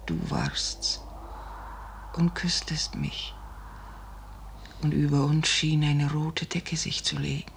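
A woman playing an anxious, devoted noblewoman in classical radio drama, solemn and fearful, often breathy. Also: sits low, big pitch swings, takes it slowly, long pauses, a little hiss or hum, full character voice. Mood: haunted. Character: anxious, devoted noblewoman, sister full of foreboding.

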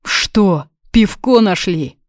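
A woman's voice: mid-range voice, animated, takes it slowly, no echo, clean studio sound, voice pushed up. A woman voicing a blunt, friendly young man in an audiobook, hungover and hankering for beer. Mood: eager. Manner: blunt, drawling.

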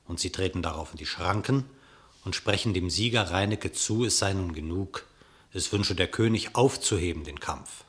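A man reads a verse fable with measured, expressive storytelling. His voice sits somewhat low. His scripted narration carries the telling of a classic radio play.

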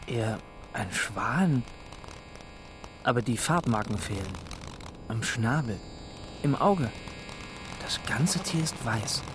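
A man's voice: mid-range voice, lively intonation, slow pace, pauses often, noisy background. A man playing a wary, challenging young brother of a nonhuman race in a radio drama. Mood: hesitant.

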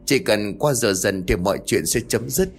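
A man's voice: on the low side, expressive, moderate pace. A man voicing a worried middle-aged son and father, soothing his frightened mother. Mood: anxious soothing. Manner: soothing, reassuring.

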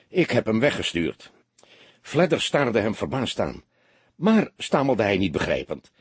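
A man's voice: lowish voice, breathy edge, no echo. A man narrating a detective novel as a scripted audiobook reading.

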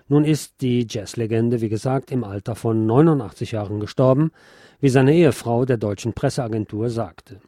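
A man narrating a scripted obituary tribute as a radio host, in a measured, formal reading manner. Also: mid-range voice, warm tone.

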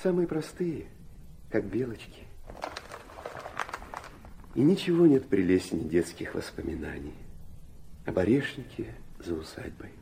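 A man's voice: lowish voice, lively intonation, a little slow, creaky, long pauses, mellow, slight background noise. An actor playing an urbane, aloof, middle-aged aristocratic father in an audio drama, mocking and capricious. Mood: tender, nostalgic. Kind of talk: theatrical audio drama acting.

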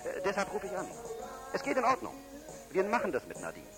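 Newspaper reporter on a call: slightly high voice, courteous and businesslike, loud music around him. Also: male voice, huge pitch swings, fast talker, exaggerated voice. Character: polite, businesslike newspaperman on the phone. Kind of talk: children's audio drama acting.

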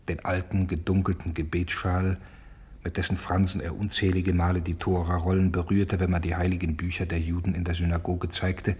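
A man playing a solemn narrator who embodies Time in a radio drama, with a husky voice and a grave, incantatory delivery. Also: low voice, darkish tone, full character voice. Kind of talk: scripted literary narration.

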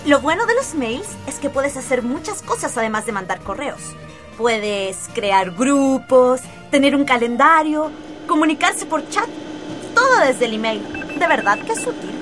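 A keen, practical schoolgirl speaks in a high voice with a Chilean accent. She is upbeat and slangy, as in an educational radio play, over faint music. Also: very animated, a little slow. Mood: enthusiastic. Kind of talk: scripted educational radio drama.

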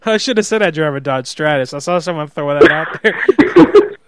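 A man's voice: on the high side, animated, normal speed, over a noisy crowd. A man who hosts prank calls, chatting casually and loosely with his friends between calls. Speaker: prank caller, show host.

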